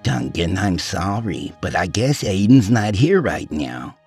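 Guy doing a husky older grandma in an audiobook, hesitant and sorry sounding. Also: deep voice, big pitch swings, unhurried, a little mellow, soft background music, voice pushed up, full character voice. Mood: uneasy. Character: apologetic older woman, a protective grandmother.

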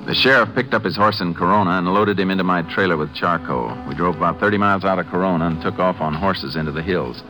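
A very deep male voice of an actor plays an unruffled Texas Ranger in an old radio western. He talks briskly with measured, plain authority, over prominent dramatic music on a vintage recording. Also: lightly acted, poor audio. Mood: narrating. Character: calm, firm, steady male Texas Ranger investigator. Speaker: lead actor and narrator.

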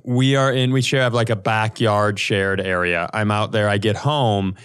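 A man co-hosting a podcast, telling comedic stories casually in an animated, sweary way and acting out dialogue. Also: on the low side, moderate pace, clean tone. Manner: animated, profane, acts out quoted dialogue. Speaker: podcast co-host.

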